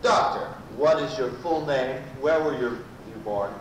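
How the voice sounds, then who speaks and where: Man acting a halting, pompous prosecutor, echoey and distant. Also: mid-range voice, natural voice. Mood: probing. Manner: stumbling, halting delivery. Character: pompous, badgering male prosecuting lawyer in sci-fi parody. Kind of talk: amateur old-time radio comedy acting. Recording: roomy, distant, noisy amateur live recording.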